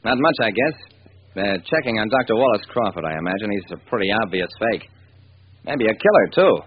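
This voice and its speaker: Vintage radio detective lead,: wry, stubborn, animated narration, thin noisy sound. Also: male voice, fairly low pitch, fairly quick, narrow, tinny audio. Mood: pensive. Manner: wry, hard-boiled.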